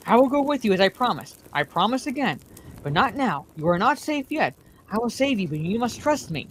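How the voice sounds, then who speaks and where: A woman voicing a gentle but urgent young male hobbit, pleading and reassuring. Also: on the high side, sing-song, fairly quick, a little hiss or hum, voice pushed up, poor audio. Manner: pleading, soft then urgent.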